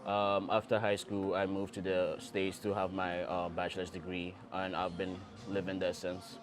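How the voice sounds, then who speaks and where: Brief: young male founder from Ghana, low voice, easygoing, faint music behind. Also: moderate pace. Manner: relaxed, many ums, easygoing. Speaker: startup founder, guest. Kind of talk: casual interview answers. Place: restaurant table, by the sea.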